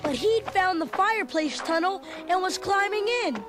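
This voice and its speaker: A performer playing an excitable young boy who tells his adventure as animated, dramatic read-along storybook narration. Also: very high-pitched, normal speed. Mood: excited storytelling. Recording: loud music under the voice. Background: loud dramatic music.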